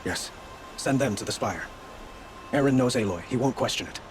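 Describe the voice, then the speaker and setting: An actor playing a courteous, dutiful male ruler in a video game, with a formal, measured manner. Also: mid-range voice, lively intonation, background noise, natural voice. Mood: decisive. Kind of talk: dramatic video game dialogue.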